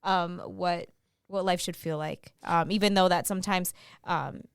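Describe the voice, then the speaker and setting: A young woman co-hosting a podcast, talking earnestly and haltingly with many fillers. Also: on the low side, smooth, no echo, clean studio sound. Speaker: podcast co-host. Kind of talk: casual chat.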